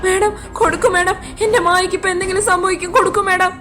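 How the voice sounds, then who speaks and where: A woman's voice: extremely high pitch, level tone, quick pace, sharp tone, soft background music, pitched below the performer's own voice. A woman voicing an earnest, desperate husband who pleads in an amateur cartoon melodrama. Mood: anguished. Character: desperate young husband pleading for his captive wife.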